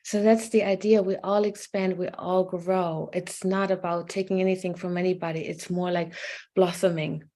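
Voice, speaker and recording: A woman guest who offers spiritual sessions, talking gently and hesitantly with many pauses and ums. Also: on the low side, little pitch movement. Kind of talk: casual conversation.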